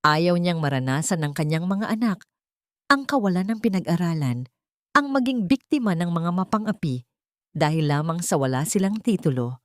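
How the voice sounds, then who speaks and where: Female narrator for a romance drama, lower-pitched, scripted dramatic storytelling, dry close recording. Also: expressive, normal speed, no echo.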